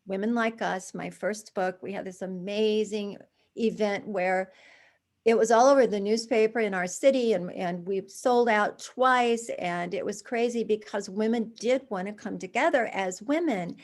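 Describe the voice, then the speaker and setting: A woman in her sixties, a charity founder, telling her story as a guest in a conversational interview, with lively restarts and asides. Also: mid-range voice, moderate pace.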